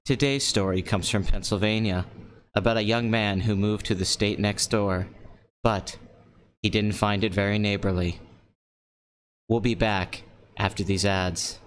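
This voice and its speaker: A man imitating a calm public-radio host. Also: fairly low pitch, measured pace, pauses often, a little hiss or hum, close to an everyday voice. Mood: mock-solemn.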